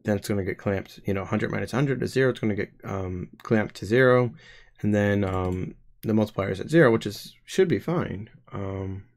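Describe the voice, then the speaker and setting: A man in his twenties talks through his code on a live stream, working out the logic aloud. His voice sits a little low. He pauses and restarts often as he thinks. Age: 20s. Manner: hesitant, many pauses and restarts. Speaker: game developer streamer.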